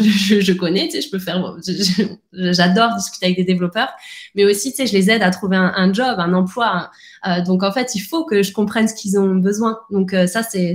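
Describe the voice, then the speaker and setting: A woman in a relaxed interview, talking in quick bursts that she keeps restarting. Her voice sits in an ordinary middle range. The sound carries the echo of a bare room. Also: medium pitch. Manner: fast and animated, many restarts and fillers. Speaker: podcast guest, founder. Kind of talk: casual conversational interview.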